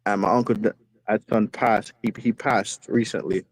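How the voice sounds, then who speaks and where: A man's voice: lowish voice, steady tone, moderate pace. A man calling in to a football fan stream, speaking casually but with passion and repeating phrases for emphasis. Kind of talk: impassioned fan rant, casual. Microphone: phone, video call.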